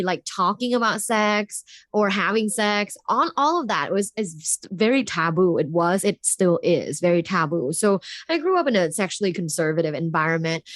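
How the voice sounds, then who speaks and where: A woman from Thailand talks as a podcast guest, candid and quick to laugh, with plenty of fillers. Her voice sits at an ordinary middle pitch. The recording is dry and close. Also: dry recording. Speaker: guest, professor. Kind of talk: casual interview talk.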